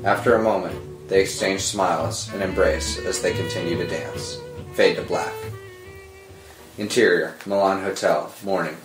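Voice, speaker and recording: A man's voice: deep voice, steady tone, moderate pace. A man narrating scripted screenplay directions at a table read. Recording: roomy echo under dramatic score music.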